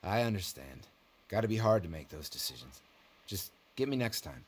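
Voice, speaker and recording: An actor playing an easygoing, conciliatory ex-military survivor in a zombie video game. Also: male voice, low-pitched, sing-song, moderate pace, husky, exaggerated voice. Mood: tired, sympathetic. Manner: conciliatory, reasonable. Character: easygoing, weakened male ex-military survivor, reasonable peacemaker.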